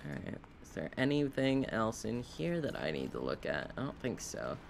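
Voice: mid-range voice, sing-song, normal speed, vocal fry, a little hiss or hum. A video game streamer giving casual live commentary, rambling and wryly talking to themself and the game. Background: video game sounds.

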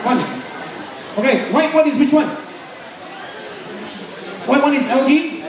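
A middle-aged man with a Malaysian accent doing loud, bantering, repetitive live sales patter as an auctioneer. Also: extremely high pitch, unhurried, recorded from a distance. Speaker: sale auctioneer and emcee. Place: large open warehouse with a crowd. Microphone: handheld mic over PA, filmed from the audience. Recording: echoey, noisy PA recorded from the crowd. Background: crowd noise.

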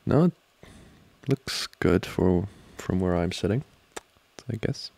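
A man performing a soft ASMR boyfriend roleplay, speaking in a halting, flustered way close to the microphone. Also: deep voice, lively intonation, vocal fry, no room sound. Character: flustered, distracted studying boyfriend, teasing and affectionate. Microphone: close microphone, dry.

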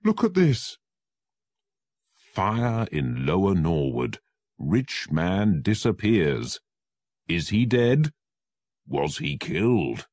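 A man's voice: deep voice, big pitch swings, takes it slowly, a little mellow, no room sound, full character voice. A man voicing a frightened young male lawyer who pleads with desperate urgency. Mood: panicked.

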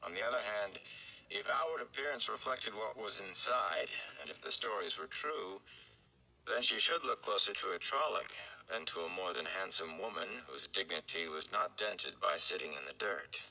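A man's voice: low voice, expressive, normal speed, vocal fry, bright, forceful tone, rough recording. A young adult man, giving a dramatic narration of a story. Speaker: audiobook-style reader for a fan read-along. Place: small indoor room.

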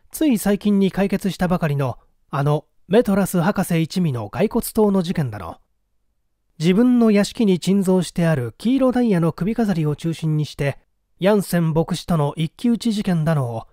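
A man's voice: a little high, warm tone, no room sound, clean studio sound. A man reading a story aloud as a dramatic scripted narration. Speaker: audiobook reader.

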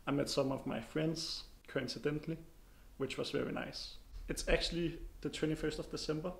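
A young man, chatting casually to a handheld camera about his training day, relaxed and full of fillers. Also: a little high, normal speed, creaky. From Denmark. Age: young adult. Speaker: kayak athlete vlogger. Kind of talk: casual vlog narration. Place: apartment living room indoors, then car and outdoors. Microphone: handheld camera at arm's length.

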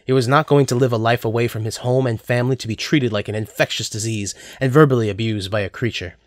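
A man's voice: medium pitch, fairly even intonation, quick pace, dry recording, clean studio sound. A man narrating a scripted story as the narrator of an audio drama.

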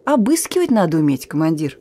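A woman's voice: low voice, big pitch swings, fast talker, dark tone. An actress playing a young, steadfast and thoughtful wartime partisan radio operator in a radio play, her voice often dropping toward a whisper. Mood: defiant.

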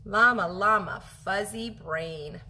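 A woman in her thirties reading a children's picture book aloud as a teacher, animated and expressive, drawing out her phrases for young listeners. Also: mid-range voice, slow, a little hiss or hum. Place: home kitchen, indoors.

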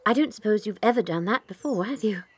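An actress playing a gentle, kindly young schoolteacher with an English accent in a dramatized children's audiobook. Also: female voice, medium pitch, expressive, fast talker, slight background noise, close to an everyday voice. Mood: kindly, matter-of-fact.

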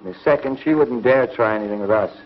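A middle-aged space scientist acted by a man, measured and analytical. His voice is medium-pitched, on a muffled 1950s soundtrack with faint noise. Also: lightly acted. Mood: wary. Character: learned scientist doctor, wary space companion. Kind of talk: 1950s television serial acting. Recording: dull, noisy 1950s television soundtrack.

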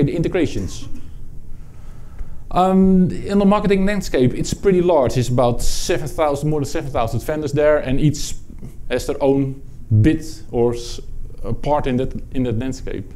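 A man from the Netherlands with a Dutch accent, presenting a technical product talk at a conference, halting, with many fillers and restarts. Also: on the high side, warm tone. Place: conference room with audience.

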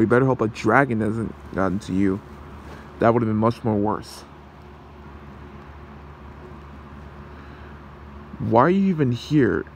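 Casting: man playing protective male fox shapeshifter, ASMR roleplay. Unhurried, fry-edged, hesitant, reassuring. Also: medium pitch, slight background noise. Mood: concerned.

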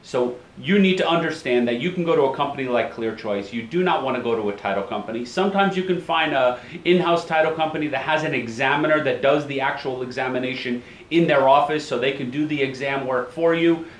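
A man in his forties, seated at his office desk, explaining real estate title searches to camera in a brisk, plain-spoken way and repeating key phrases. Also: mid-range voice, echoey room, a little hiss or hum. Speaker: title company owner, show host.

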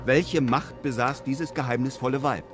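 A man's voice: medium pitch, steady tone, normal speed, faint music behind, close to an everyday voice. An actor playing a composed, grave European adventurer who recounts his travels in a radio drama. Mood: composed, narrating. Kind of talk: radio drama narration and dialogue.

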